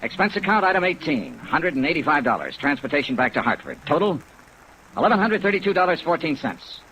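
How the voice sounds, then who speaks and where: American hard-boiled but kind investigator, forceful voice, swoops around, wry 50s radio drama, rainy old recording. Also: male voice, fairly high pitch, fast talker, poor audio. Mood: wry, brisk. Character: hard-boiled yet kindly male insurance investigator. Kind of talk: 1950s radio detective drama, narrated expense report. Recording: old 1950s radio recording, rain noise. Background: steady rain sounds.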